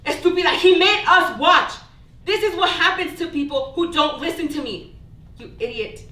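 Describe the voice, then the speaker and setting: Woman acting high-pitched older sister, scornful, exasperated, foul-mouthed, stage play reading, roomy echo. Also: moderate pace, bright, forceful tone. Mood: angry, panicked. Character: bitter, sharp-tongued older sister, foul-mouthed and scared. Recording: distant mic, echoey room, faint noise.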